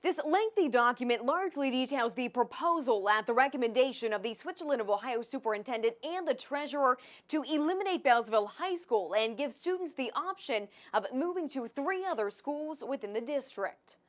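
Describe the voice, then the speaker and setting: American female TV news reporter, higher-pitched, scripted narration. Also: animated, brisk, few pauses.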